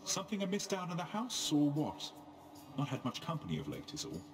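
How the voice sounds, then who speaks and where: A weary condemned man from a video game, gentle and courteous with a wry streak. His pitch moves freely up and down, and game music plays behind him. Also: huge pitch swings, a little fast. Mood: friendly, weary. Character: weary, friendly lonely condemned man toiling with a boulder.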